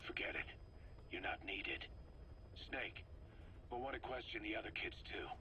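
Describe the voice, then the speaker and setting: An actor playing a gruff, embittered military commander in a video game, guarded and stubborn, with terse, brooding delivery. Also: male voice, on the low side, normal speed, pushed and bright, in a whisper. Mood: curt, defensive. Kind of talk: dramatic video game voice acting. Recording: faintly noisy cassette tape recording.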